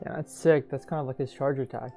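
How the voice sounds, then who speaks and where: A man's voice: medium pitch, fairly even intonation, fast talker, creaky, mellow. A young man giving casual, slangy, offhand gameplay commentary with game music behind him. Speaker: gaming video host.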